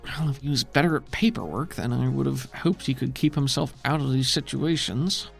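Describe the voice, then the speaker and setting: Guy doing a teasing woman friend, dry jabs, mid pitch, soft music underneath. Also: brisk, vocal fry, close to an everyday voice.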